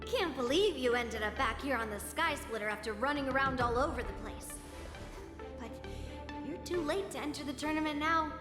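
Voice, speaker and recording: A woman voicing a feisty, confident young female sword fighter in a video game, brisk and bold. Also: high-pitched, natural voice. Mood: teasing. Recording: echoey hall reverb, music behind.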